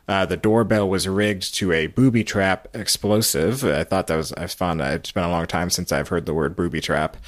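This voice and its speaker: A man hosting a recap podcast, talking through a story in casual commentary on a podcast microphone. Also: low-pitched. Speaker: podcast host.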